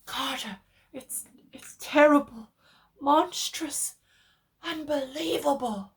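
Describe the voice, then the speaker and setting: A woman's voice: high voice, slow pace, pitched above the performer's own voice. A woman voicing a terrified man trapped underground, stammering and calling out frantically in a horror story. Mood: horrified despair. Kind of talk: dramatic horror reading.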